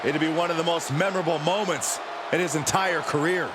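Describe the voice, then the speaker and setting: A man's voice: a little high, fairly even intonation, a little fast, natural voice. A man playing a play-by-play wrestling commentator in a video game, setting up the match. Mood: keen anticipation. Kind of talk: sports commentary. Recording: very loud background arena noise.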